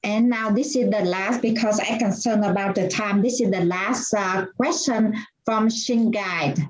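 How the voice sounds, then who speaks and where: A woman moderating a group session, brisk and polite, keeping an eye on the time. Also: mid-range voice, slight background noise. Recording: echoey room.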